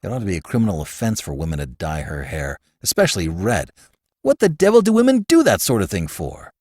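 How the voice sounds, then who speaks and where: A man's voice: low-pitched, wildly swooping pitch, fairly quick, a little mellow, husky, dry recording, clean studio sound, exaggerated voice. A man reading an audiobook and voicing a gloomy, irritable young man who is troubled over a romance. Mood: harassed. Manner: gloomy and irritable, with exasperated outbursts. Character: harassed, moody young man in a romantic fix. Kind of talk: audiobook character voice.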